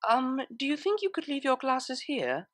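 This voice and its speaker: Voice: high voice, big pitch swings, moderate pace, a bit bright, no echo, studio quality, voice pushed up. A narrator voicing a refined, shy and fretful young female pony cellist in a story, with prim, proper phrasing. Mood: sheepish.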